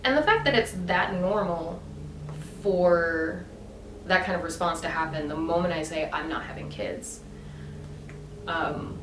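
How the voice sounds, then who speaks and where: A woman vlogger talking about her own choices in a casual, emphatic way, with many fillers and rising bursts of emphasis. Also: fairly low pitch. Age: adult. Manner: animated, emphatic, lots of fillers. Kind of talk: casual opinion monologue. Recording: echoey room, mic at a distance, background noise.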